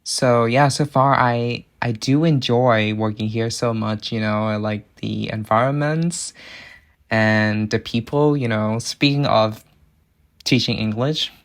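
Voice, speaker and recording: A young man from Thailand speaks English, his tone earnest and measured. His voice carries a noticeable gravelly fry at the bottom of his mid-range pitch. He talks in a casual monologue and turns encouraging when he gives advice. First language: Thai. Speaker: international student and part-time English teacher. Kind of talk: casual personal monologue to camera.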